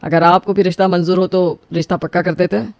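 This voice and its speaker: Rich, stately father-in-law for a story, acted by a man, high-pitched, gravelly. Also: steady tone, fast, dry recording. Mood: impressed. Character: wealthy, approving middle-aged prospective father-in-law.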